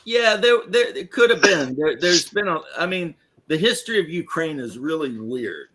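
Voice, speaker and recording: A man's voice: a little high, very animated, moderate pace, fairly bright tone. Hesitant man in casual chat. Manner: hesitant, trails off, many fillers.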